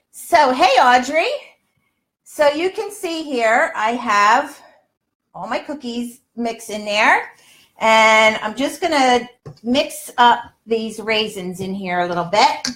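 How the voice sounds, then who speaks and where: A woman's voice: fairly high pitch, a bit bright. An older woman chatting casually and exclaiming to viewers as she hosts a live cooking demonstration from her home kitchen. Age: older, around 60s. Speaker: health coach and cooking show host. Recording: roomy, echoey home kitchen sound.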